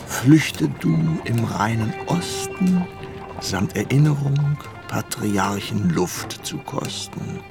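Radio drama casting: grumbling old poet, sentimental and theatrical, slow, gravelly delivery over loud music. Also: male voice, mid-range voice, sing-song, exaggerated voice. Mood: fervent, nostalgic. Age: older. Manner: musing, sighing, theatrical, slipping into verse. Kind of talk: German radio play acting.

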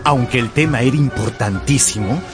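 A man's voice: mid-range voice, moderate pace. A man narrating a radio drama with theatrical, emphatic storytelling. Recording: music behind the voice.

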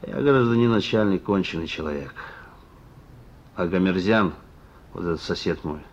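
An actor playing a sullen, fatalistic, wounded young petty criminal, curt and colloquial, in a Soviet radio play. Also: male voice, normal speed, muffled recording. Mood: weary, subdued. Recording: noisy old radio recording with rumble.

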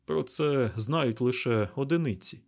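A man's voice: mid-range voice, a little slow, vocal fry, a little mellow. A man voicing a weary, troubled young hero in an audiobook, earnest and hesitant. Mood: frustrated.

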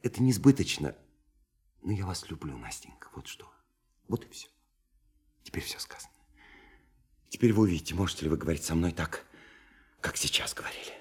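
An actor in a Russian radio play, voicing a shy, lovelorn young man who speaks haltingly and fervently, pleading and self-effacing. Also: low voice, lively intonation, a little mellow, slightly rough, in a whisper. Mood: tormented confession.

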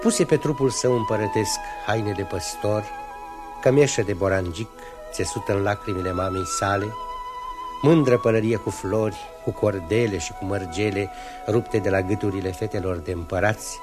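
Low-voiced male fairy-tale narrator. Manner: measured, storybook. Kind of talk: scripted storytelling narration. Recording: 1964 radio recording with music behind.

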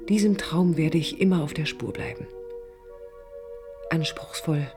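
A woman acting a proud, self-willed but wounded woman architect in a radio drama, speaking in reflective, deliberate literary phrases. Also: low voice, music in the background. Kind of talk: 1980s German radio drama, introspective narration and dialogue.

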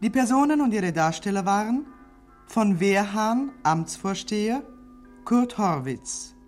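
A woman reading a formal, scripted cast announcement as a radio announcer in a measured manner. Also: medium pitch, expressive, darkish tone, faint music behind.

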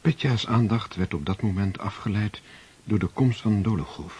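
A man narrating a radio drama in a measured, scripted storytelling style with a raspy voice. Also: lowish voice, darkish tone. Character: measured male storybook narrator of war novel.

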